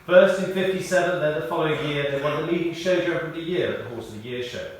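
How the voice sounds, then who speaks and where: Male eulogist, measured and drily humorous storyteller, reading a tribute in a very reverberant church, off-mic with faint noise. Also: fairly high pitch, distant mic. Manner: measured storytelling with dry humour. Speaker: eulogist at a memorial service. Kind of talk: prepared spoken tribute, read aloud. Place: speaking in a large church.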